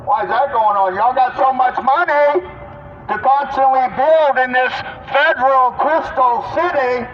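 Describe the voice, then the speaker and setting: A man's voice: very high voice, measured pace, crowd noise. An American man giving a scolding political street speech through a megaphone, full of insistent questions, outdoors in a city. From United States. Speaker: street activist. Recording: dull muffled megaphone sound, outdoor noise.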